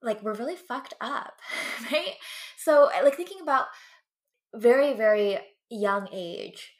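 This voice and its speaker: A woman's voice: fairly high pitch, animated, slow pace. A young woman in an earnest, reflective podcast chat. She talks candidly, working through her thoughts aloud and often checking in with the listener. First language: English. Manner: earnest, reflective, frequent "right?" and "like". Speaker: guest. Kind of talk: casual podcast conversation.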